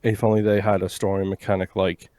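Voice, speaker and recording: A man co-hosting a video game podcast, talking in a mostly monotone voice while venting his frustrations in casual chat. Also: low-pitched, quick pace, smooth, no room sound. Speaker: podcast co-host.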